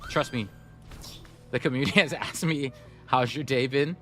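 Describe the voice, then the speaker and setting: A man's voice: medium pitch, moderate pace, a bit bright. Somali-speaking guy streaming games, chatty and upbeat, mid voice, faint music behind. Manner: chatty, upbeat, talks straight to chat. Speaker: gaming streamer. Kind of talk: casual live-stream chat.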